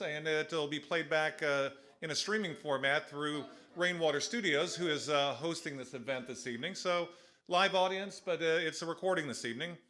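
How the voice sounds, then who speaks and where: A man with a loud voice, welcoming a live audience to a winery, speaking casually with many "uh" fillers into a PA microphone. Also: on the high side. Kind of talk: live event welcome announcement.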